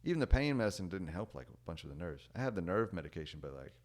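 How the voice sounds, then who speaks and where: A young man with a very low, deep voice talks in a loose, unhurried way. His sentences wander with fillers like "like" and "dude". He often fades out softly at the end of a thought. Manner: rambling, many "like" and "dude" fillers; relaxed, trails off quietly at ends of thoughts. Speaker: podcast guest. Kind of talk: casual storytelling chat.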